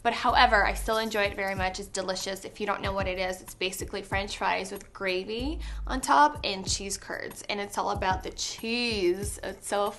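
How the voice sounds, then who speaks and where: A young woman from Canada answering interview questions on set in a chatty, giggly way with lots of pauses and ums. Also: medium pitch, steady tone, fairly bright tone, slight background noise.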